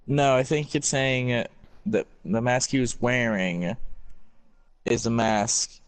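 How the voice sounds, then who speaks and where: A man's voice: mid-range voice, takes it slowly, clear, resonant tone, dry recording. A man chatting casually as a companion to a reader, with a laid-back, hesitant way of talking. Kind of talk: casual chat.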